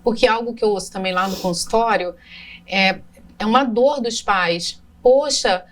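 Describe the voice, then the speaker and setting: A woman's voice: mid-range voice, recorded from a distance. Reflective woman host, slightly off-mic. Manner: talks slowly and reflectively, with restarts. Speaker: podcast host; health professional. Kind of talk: podcast interview.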